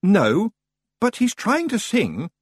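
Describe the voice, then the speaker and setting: A man's voice: sits high, wildly swooping pitch, slow, slightly rough, dry recording, voice pushed up, heavily acted. A man voicing an earnest, wary young male hero who speaks plainly and tensely.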